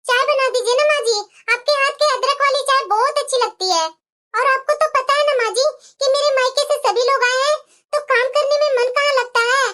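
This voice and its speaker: A woman playing a bossy, entitled young daughter-in-law in a family drama, demanding and sharp. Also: very high-pitched, brisk. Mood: sweetly demanding. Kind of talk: Hindi family drama story dialogue.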